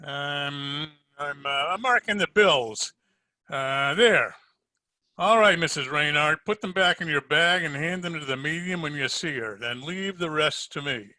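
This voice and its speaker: A man playing a wisecracking, unhurried hardboiled detective in an old-time radio drama, wry and slangy. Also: fairly high pitch, sharp tone. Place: home, recorded over a video call.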